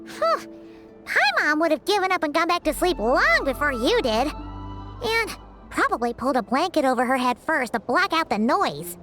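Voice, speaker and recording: A woman voicing a lively, curious young girl companion in a video game, chatty and playful. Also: sits high, moderate pace. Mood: joking. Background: music.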